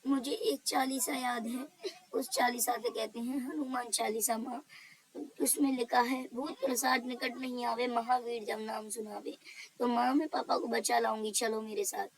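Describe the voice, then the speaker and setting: A woman's voice: on the high side, level tone, normal speed, slight background noise, voice pushed up, lightly acted, rough recording. A woman voicing a brave, devout young daughter in a cartoon story, speaking insistently as she pleads and challenges. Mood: earnest concern. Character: brave, defiant, devout young daughter seeking her father.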